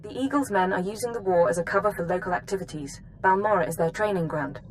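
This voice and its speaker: A woman playing a composed, efficient intelligence analyst in a video game, giving briefings in a crisp, businesslike manner. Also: lowish voice, fast, a little hiss or hum, natural voice, rough recording.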